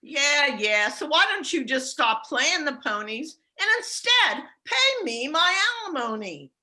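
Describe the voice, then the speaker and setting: A naggy fed up ex wife from noir radio, super sharp, swings up and down. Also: female voice, high-pitched, very animated, a little slow, exaggerated voice. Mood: fed-up nagging. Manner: scolding, slangy. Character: nagging, fed-up female ex-wife of a detective. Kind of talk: campy 1940s radio noir acting.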